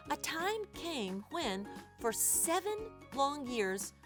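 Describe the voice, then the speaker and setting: Casting: woman reading as wise storybook papa bear, instructive and unhurried, with music behind. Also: sits high, big pitch swings, voice pushed up, heavily acted. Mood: explaining. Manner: measured, emphatic, instructive. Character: patient, wise father bear teaching his cub.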